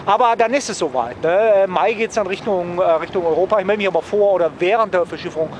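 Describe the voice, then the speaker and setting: A man's voice: high voice, fast talker, background noise. A man chatting casually to viewers as a travel vlogger, rambling and wryly joking, often tagging phrases with a questioning "ne?".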